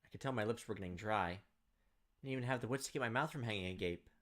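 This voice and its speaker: A man's voice: lowish voice, racing, fairly bright tone. A man reading visual novel narration aloud in a dramatic storytelling style as a gameplay host, stumbling now and then.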